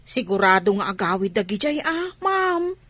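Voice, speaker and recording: A woman's voice: medium pitch, big pitch swings, normal speed, fairly bright tone, no room sound. A woman playing a nosy, teasing household confidante in a radio comedy drama.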